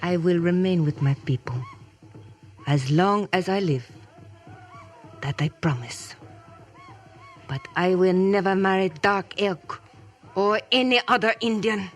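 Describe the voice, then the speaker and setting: Proud young chief's daughter, played by an actress, music behind. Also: female voice, fairly low pitch, a little slow, long pauses, lightly acted. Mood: resolute. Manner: solemn broken English, short declarative phrases. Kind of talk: 1950s radio drama acting. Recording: 1950s radio drama recording.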